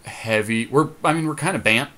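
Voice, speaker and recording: A man talking casually through a card game, reasoning and counting aloud in a deliberate way. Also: medium pitch, bright, forceful tone. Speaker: podcast co-host and card game player.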